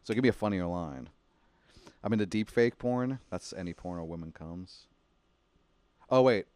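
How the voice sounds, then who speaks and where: A young man with a slight speech impediment, talking quickly and joking loosely as a podcast host. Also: low-pitched, a little mellow, no echo. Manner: fast-talking, jokey, swears freely. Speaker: podcast host and stand-up comedian. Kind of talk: casual podcast chat.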